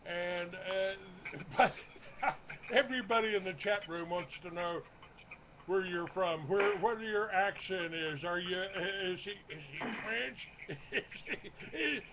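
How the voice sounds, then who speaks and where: A man with a high voice hosts a casual interview show. He talks haltingly, restarting his phrases. The sound is dull and lo-fi, with faint noise behind him. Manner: halting, repeats phrase openings. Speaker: radio show host. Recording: dull lo-fi audio, noisy background.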